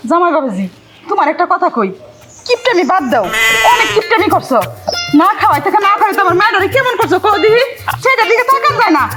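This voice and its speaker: A woman's voice: extremely high pitch, big pitch swings, fast, over loud music. A woman with a Bangladeshi accent acting an outspoken, nagging but caring village mother-in-law in a comedy drama. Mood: nagging, mocking.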